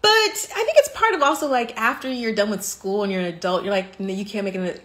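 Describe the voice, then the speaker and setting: A woman's voice: medium pitch, brisk. An American woman in her thirties hosting a casual live stream from her living room, talking animatedly into a phone held close. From United States. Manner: animated, expressive, talks with her hands. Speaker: podcast host on a live stream. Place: bright living room indoors.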